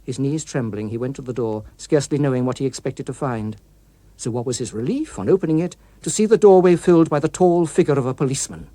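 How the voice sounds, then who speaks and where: A man's voice: medium pitch, lively intonation, quick pace, mellow, no echo. A man with a British accent narrating a scripted story as a dramatic audiobook reader.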